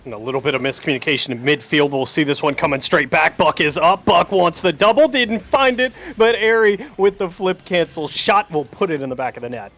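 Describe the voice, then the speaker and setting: A man's voice: fairly high pitch, animated, brisk, hardly any pauses, fairly bright tone. A man doing live play-by-play commentary of a video game match, using sportscaster slang. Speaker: esports commentator, play-by-play caster.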